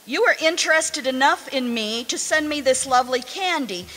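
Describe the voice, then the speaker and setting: A woman playing a sweetly probing, skeptical wife in old-time radio comedy, speaking deliberately and pointedly. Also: sits high, lively intonation, sharp tone, in a large hall, a little hiss or hum. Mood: sweetly suspicious. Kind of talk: 1930s-40s radio comedy acting.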